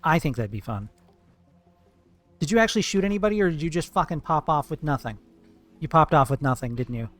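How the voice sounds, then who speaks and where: A man's voice: on the high side, animated, fairly quick, long pauses, a bit bright, faint music behind. A man streaming live, chatting casually and profanely with his viewers while gaming, playful and teasing.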